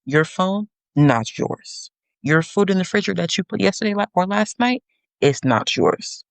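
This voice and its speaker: A young adult woman hosting a podcast, giving a sassy, animated monologue with teasing asides to her listeners. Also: lowish voice, normal speed, creaky, no room sound. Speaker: podcast host.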